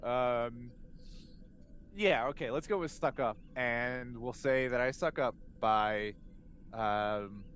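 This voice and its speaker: A man's voice: medium pitch, takes it slowly, fairly bright tone, slight background noise. A man chatting casually as a player in a tabletop game, wry and joking, feeling his way through phrases. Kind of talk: casual improvised game chat.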